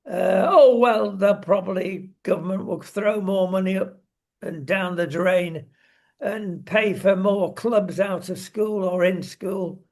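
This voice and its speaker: A woman's voice: mid-range voice, slow pace, a little mellow. An older woman with a British accent hosting a casual opinion chat in a wry, exclamatory way.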